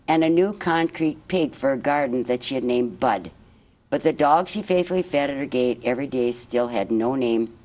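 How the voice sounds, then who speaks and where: A woman reading a children's picture book aloud as a guest storyteller, in measured, expressive phrases. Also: rumbling low.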